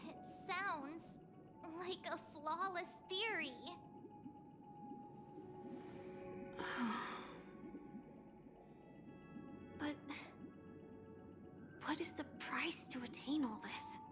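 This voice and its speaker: An actress playing an earnest, gentle but resolute young woman in a video game, speaking deliberately and pensively. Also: very high-pitched, music in the background. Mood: doubtful. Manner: deliberate, pensive, sighing pauses. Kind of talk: dramatic video game dialogue.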